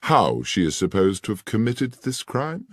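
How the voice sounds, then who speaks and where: A man reading aloud, voicing a courteous, probing gentleman detective. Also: fairly low pitch, wildly swooping pitch, normal speed, dry recording, clean studio sound, pitched above the performer's own voice, exaggerated voice. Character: keen, incisive gentleman detective.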